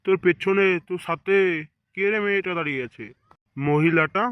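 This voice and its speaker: A man's voice: high voice, steady tone, normal speed, clear, resonant tone, dry recording, dull audio, lightly acted. A man voicing a middle-aged village elder in a comedy cartoon, nosy and indignant. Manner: questioning, indignant, repetitive. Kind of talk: comedy cartoon dubbing in colloquial village speech.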